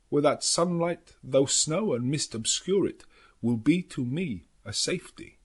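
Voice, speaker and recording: A male narrator plays an elderly professor who hunts vampires in a gothic audiobook. His husky voice is solemn and anguished. He speaks slowly and dramatically, in halting foreign phrases. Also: medium pitch, full character voice. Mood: grave resolve.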